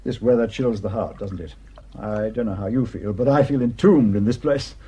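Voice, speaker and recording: A man's voice: on the low side, normal speed, warm tone, a little hiss or hum, close to an everyday voice. A man acting a sardonic police superintendent in a radio drama, questioning with wry insistence. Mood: wry, courteous. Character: sardonic, probing police superintendent investigating a murder. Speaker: actor.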